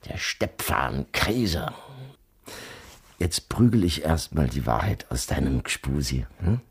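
An actor playing a menacing, jealous German army sergeant in a radio drama, barking threats with an Austrian-Bavarian accent. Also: male voice, a bass voice, big pitch swings, slow pace, vocal fry, slight background noise, heavily acted. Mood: furious, taunting. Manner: barking orders, threatening, taunting.

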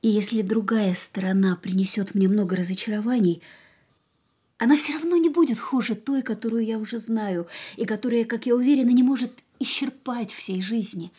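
An actress plays a woman torn yet determined to leave the man who loves her. Her high voice is earnest and pleading, in the dramatic manner of a radio play. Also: a little fast, dark tone, close to an everyday voice. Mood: yearning, insistent. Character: anguished, resolute woman leaving the man she loves. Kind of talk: Russian radio play acting.

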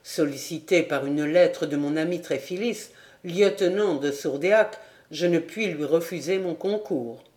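A woman's voice: low voice, measured pace, darkish tone. A woman voicing an earnest, resolute young nobleman who declares his feelings in a storybook reading.

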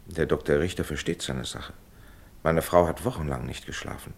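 An actor playing a conciliatory middle-class gentleman in a radio drama, raspy, speaking as a reassuring, educated old friend. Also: extremely low pitch, moderate pace, exaggerated voice. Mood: soothing, concerned. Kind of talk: German radio drama acting.

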